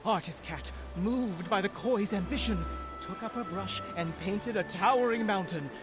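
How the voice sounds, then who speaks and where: A man's voice: high voice, normal speed, music in the background, natural voice. A man voicing a grandiose storyteller in a video game, telling legends in a formal, dramatic, declaiming manner. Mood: grand, dramatic. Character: grandiose male storyteller of ancient legends, easily flustered.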